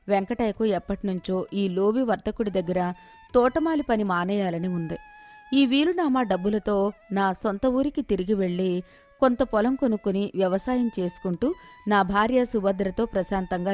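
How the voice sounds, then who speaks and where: A woman's voice: mid-range voice, a little mellow. A woman giving scripted narration of a moral story as its storyteller.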